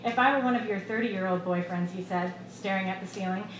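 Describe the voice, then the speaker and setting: A middle-aged woman reads literary fiction aloud at a live event, in a medium-pitched voice. The room around her is echoey, with clear noise behind her words. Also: brisk. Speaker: author reading her short story. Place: small bar room, live reading. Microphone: microphone on a stand, close.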